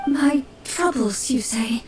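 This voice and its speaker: A woman's voice: high-pitched, animated, slow pace, background noise, pitched above the performer's own voice. A woman voicing a timid, troubled townswoman who answers politely and hesitantly. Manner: hesitant, polite, soft-spoken.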